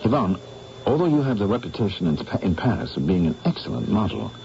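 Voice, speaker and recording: An earnest English painter. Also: male voice, lowish voice, big pitch swings, quick pace. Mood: firm. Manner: firm, earnest, formal. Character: earnest, serious English painter, adult man. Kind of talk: 1970s radio drama acting. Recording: dull old radio broadcast with faint hiss.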